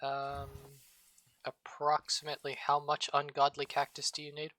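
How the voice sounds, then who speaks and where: A man's voice: a little high, monotone, normal speed, bright, forceful tone. A man in casual gaming chat, joking and rambling with playful, absurd asides. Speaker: streamer co-host.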